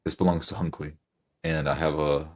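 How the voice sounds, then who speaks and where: Man playing a very deep, earnest devout adventurer. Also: little pitch movement. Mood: reverent. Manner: halting, polite, solemn. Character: earnest, devout male adventurer reading omens.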